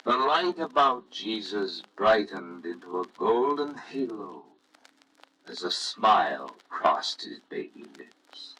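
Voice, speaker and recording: Slow, low-voiced male story narrator. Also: very animated, bright, forceful tone, low-quality recording. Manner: solemn, reverent, measured. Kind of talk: scripted storybook narration, old radio drama.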